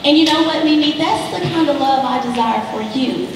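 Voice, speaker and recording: An actress plays an earnest woman counselor in a stage drama, encouraging and preachy. Her voice is on the high side and rings through a very echoey theater, with clear noise in the recording. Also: a little fast, distant mic, lightly acted. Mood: earnest, testifying. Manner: preachy, emphatic, motherly reassurance. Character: warm, churchy female counselor, earnest and encouraging. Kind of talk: stage play acting. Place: indoor theater stage living-room set.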